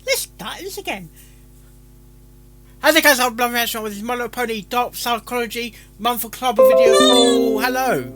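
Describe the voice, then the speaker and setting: A man's voice: extremely high pitch, moderate pace, over music. A man chatting casually as a live-stream reactor, stumbling over his words and interrupting himself. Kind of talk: casual live-stream chat with rehearsed intros.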